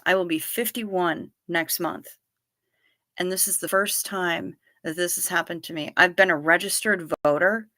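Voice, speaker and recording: Bright-voiced chatty woman in her fifties. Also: fairly low pitch, steady tone, moderate pace, no room sound, studio quality. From Niagara Falls region. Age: 50s. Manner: chatty and playful, addresses viewers by name. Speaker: livestream host. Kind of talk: casual livestream chat reading viewer comments.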